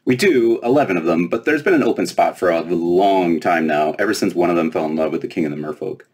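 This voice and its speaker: A man's voice: sits low, quick pace, a little mellow, breathy edge. A man voicing a smug, self-important young fairy princess with a flippant manner in a storybook reading. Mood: boastful.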